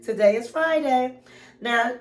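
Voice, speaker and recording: A woman's voice: high voice, a little slow, roomy sound, rough recording. A woman with a cold and a nasal voice, hosting her own relationship advice channel and chatting casually with viewers, calling them queens and honey. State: has a cold, sounds nasal. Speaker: relationship coach and channel host. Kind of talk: casual advice vlog chat.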